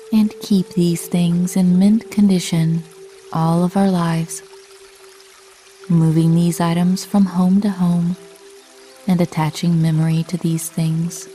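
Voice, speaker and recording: A woman with a soft, mellow tone reads a guided sleep meditation. She speaks calmly and soothingly at a very unhurried pace, leaving long pauses. Music plays underneath, along with running water. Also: on the low side. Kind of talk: scripted sleep meditation narration, bedtime story.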